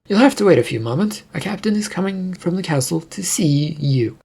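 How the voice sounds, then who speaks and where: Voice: medium pitch, expressive, vocal fry, darkish tone, husky, pitched above the performer's own voice. A performer voicing a befuddled, hesitant city gate guard in a fantasy story. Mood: dutiful. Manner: halting, unsure.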